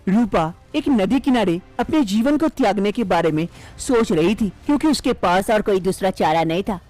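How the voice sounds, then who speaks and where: A woman narrating a cartoon story in a steady, plain storytelling manner. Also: medium pitch, normal speed, soft background music.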